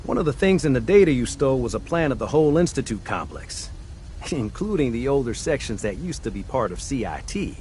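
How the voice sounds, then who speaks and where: A man's voice: medium pitch, sing-song, brisk, a little mellow, slight background noise, lightly acted. An actor voicing a friendly male mechanic in a game who eagerly explains a plan step by step.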